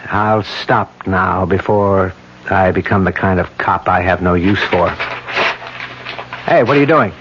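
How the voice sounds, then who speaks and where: An actor playing a weary police detective and loyal husband in a 1970s radio drama, subdued and low-key. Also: male voice, slow, a bit bright, slightly rough, full character voice. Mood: resigned. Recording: dull lo-fi 1970s radio recording.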